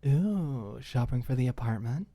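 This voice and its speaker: A woman's voice: rumbling low, expressive, unhurried, mellow, dry recording, full character voice. A woman playing a clingy, jealous young girlfriend in an audiobook drama, pushy and insistent. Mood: sweet, teasing. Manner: coaxing, insistent, scornful when crossed.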